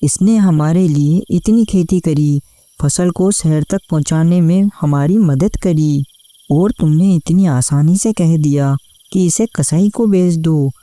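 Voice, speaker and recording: A woman's voice: low-pitched, slow pace, mellow, no room sound. A woman voicing a hardworking, kind-hearted farmer husband in a cartoon story, speaking in an earnest, plaintive way. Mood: indignant, pleading. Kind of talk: scripted cartoon story acting. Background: background music.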